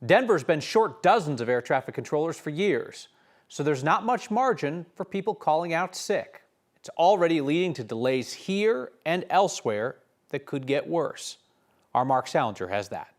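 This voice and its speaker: American guy anchoring the news, kinda higher voice, really animated intonation. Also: moderate pace. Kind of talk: broadcast news presenting, conversational.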